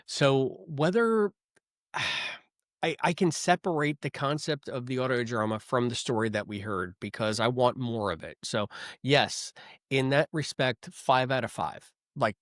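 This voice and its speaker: A middle-aged man chats as co-host of a fan podcast, his voice mid-pitched and dry, recorded close with no room around it. He is animated, restarts his sentences and leans on fillers. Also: measured pace, no echo. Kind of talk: casual chat.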